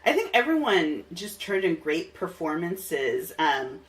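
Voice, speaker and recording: A woman's voice: fairly low pitch, big pitch swings, measured pace, recorded from a distance, rough recording. A woman hosting a podcast, chatting casually and enthusiastically with a guest. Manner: enthusiastic, laughs often. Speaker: podcast host. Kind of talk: casual interview chat.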